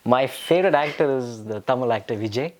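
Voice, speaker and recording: A young man from India answering interview questions casually, with many pauses and fillers. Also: medium pitch. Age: young adult. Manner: hesitant, with frequent fillers and restarts. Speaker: interview guest.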